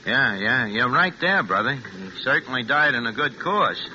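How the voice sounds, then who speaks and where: An actor in a 1940s-style radio drama playing a brash, streetwise New swindler, slangy and full of stammering bluster. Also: male voice, medium pitch, lively intonation, normal speed, very noisy, close to an everyday voice. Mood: uneasy. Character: brash, streetwise American swindler narrating his own scheme. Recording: dull vintage radio recording with music.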